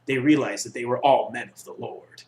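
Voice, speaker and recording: A man's voice: medium pitch, fairly even intonation, normal speed, a little mellow. Young man reading aloud, echoey room. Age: young adult. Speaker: reader. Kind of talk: reading a comic story aloud. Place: small dorm room with block walls, indoors.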